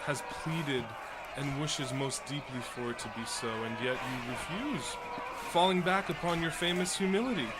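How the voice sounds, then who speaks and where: A male actor plays an insistent medieval townsman in a radio drama. His voice is high and declamatory, full of fervour. Heavy ambient noise surrounds him. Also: moderate pace, lightly acted. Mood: pleading. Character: insistent, fervent medieval townsman pressing a bishopric. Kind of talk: family audio drama acting.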